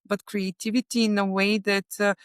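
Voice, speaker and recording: A woman from Bulgaria, a plant medicine facilitator, speaking as an interview guest in a soft, earnest way with frequent pauses and fillers. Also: medium pitch, level tone, clean tone, no echo, clean studio sound. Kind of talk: casual interview talk.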